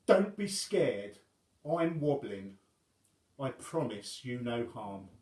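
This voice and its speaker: A man voicing a huge, self-loathing but kindly female goblin monster from a children's storybook, with loud, drawn-out exclamations. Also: medium pitch, big pitch swings, echoey room. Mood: gentle, reassuring.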